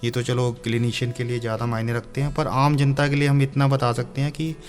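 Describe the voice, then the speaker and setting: A man, a doctor answering questions in a health talk show, explaining in a measured, patient way and mixing in English medical terms. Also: medium pitch, flat delivery, faint music behind.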